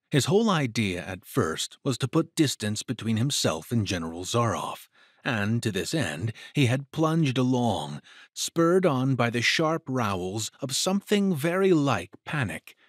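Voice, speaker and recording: A man's voice: medium pitch, dry recording, clean studio sound. A man narrating a scripted adventure story in a dramatic style, as the narrator of an audio drama.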